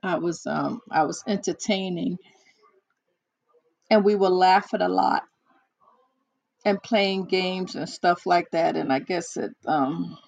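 A hoarse woman in casual one-on-one chat, talking haltingly with many fillers and restarts. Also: lowish voice, a little mellow, dry recording. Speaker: chat partner.

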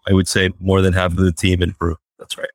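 A man being interviewed as a startup founder, answering in a halting way with fillers and restarts. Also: deep voice, monotone, vocal fry, dry recording, studio quality. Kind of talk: remote interview. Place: Fort Worth, Texas.

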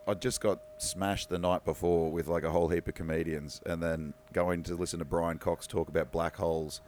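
Young podcast host, croaky, kinda low voice, excited and swears a bit. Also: male voice, moderate pace, echoey room, a little hiss or hum. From Australia. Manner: enthusiastic, swearing, laughing. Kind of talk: casual chat.

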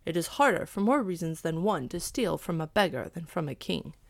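Woman acting grumbling, creaky poor village husband. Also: fairly low pitch, animated, a little fast, dry recording. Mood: doubtful.

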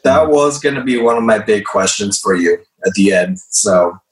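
A man presenting slides in a casual group discussion, losing his voice, clearing his throat and filling gaps with hesitant "um"s. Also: lowish voice, lively intonation, moderate pace, echoey room, low-quality recording. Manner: hesitant, many fillers.

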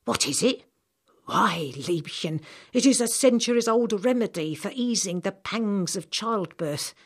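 A woman voicing a gruff, knowing old apothecary man with a measured, slightly lecturing manner in an audiobook. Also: medium pitch, animated, voice pushed up. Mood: knowing, proud.

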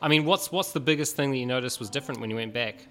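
A man's voice: mid-range voice, very fast, fairly bright tone. A man with an Australian accent hosting a casual podcast, chatty and jokey, talking through a cold. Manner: jokey, chatty, with fillers and restarts. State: has a cold. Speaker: podcast host. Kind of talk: casual chat. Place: bookshop, recorded live.

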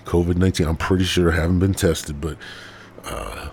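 A man's voice: low voice, steady tone, brisk, a little mellow, slight background noise. A congested man, hosting a rambling, profane podcast monologue. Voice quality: raspy. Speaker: podcast host.

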